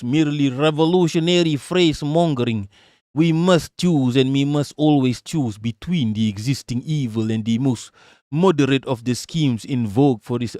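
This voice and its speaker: A man's voice: a little high, no echo, studio quality. A man using a put-on American accent as a livestream political commentator, reading aloud and then ranting. He is emphatic and profane, and he often stutters and repeats words.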